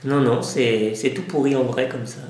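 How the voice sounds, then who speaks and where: A man's voice: mid-range voice, moderate pace, a little mellow. A man in his thirties talking in a conversational comedy sketch, indignant and emphatic, as a fussy dad. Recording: very echoey room, faint noise.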